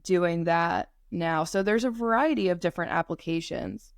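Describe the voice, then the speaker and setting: A young woman co-hosting a podcast in a casual conversational way, halting often with fillers and restarts. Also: lowish voice, no room sound, clean studio sound. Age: young adult. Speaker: podcast co-host.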